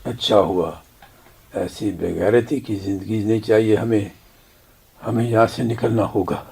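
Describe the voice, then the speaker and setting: A man's voice: fairly low pitch, steady tone, unhurried, vocal fry, a little mellow, slightly rough, full character voice. A man playing a proud old Kashmiri father in a radio drama, grave and indignant in manner. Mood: grim resolve.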